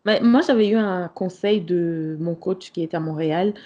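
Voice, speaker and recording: A woman's voice: lowish voice, moderate pace, mellow, dull audio. A woman co-hosting a podcast who gives frank advice in casual chat, restarting her sentences often. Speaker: podcast co-host.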